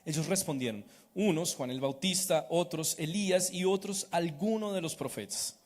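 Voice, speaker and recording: Male church interpreter and reader, higher pitch, echoey hall sound. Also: moderate pace, darkish tone. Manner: renders each phrase right after the preacher. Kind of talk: live sermon interpretation and Bible reading.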